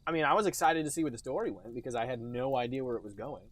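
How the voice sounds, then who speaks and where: A man's voice: medium pitch, animated, racing, crowd noise. A man hosting a podcast in casual, sarcastic comedic banter, joking in a hammy way. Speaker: podcast host.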